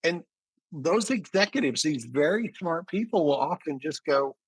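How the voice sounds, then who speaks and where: A man's voice: on the high side, animated, moderate pace, husky, dry recording, rough recording. A man giving explanatory, conversational answers as an interview guest, often restarting his phrases. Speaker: interview guest, physician and business founder.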